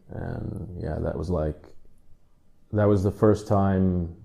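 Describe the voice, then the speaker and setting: Thirties drummer from the Netherlands, low and mellow, loose rambling answers, dull lo-fi sound. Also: male voice, vocal fry. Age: 30s. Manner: relaxed, rambling with many "like" and "you know" asides. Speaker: drummer interviewee. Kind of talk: casual interview answers.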